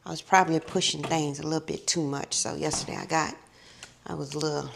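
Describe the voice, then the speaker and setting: A woman's voice: low voice, fairly even intonation, fast talker, creaky. A middle-aged woman vlogging to her viewers, chatty and drawn out, calling them honey and baby, her voice a little tired and scratchy. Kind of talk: casual chatty vlog.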